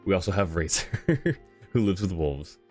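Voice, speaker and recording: Low-voiced man streaming a game, joking casually. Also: lively intonation, darkish tone, soft background music. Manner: joking, halting, self-interrupting. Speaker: livestreamer. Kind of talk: casual game stream commentary, chatting with viewers.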